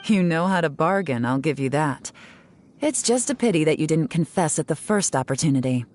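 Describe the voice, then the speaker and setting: A woman voicing a composed investigator who speaks calmly and with authority in a game. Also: low-pitched, brisk, faint music behind. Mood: stern. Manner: calm, dry and authoritative. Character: composed adult woman investigator, firm and wry.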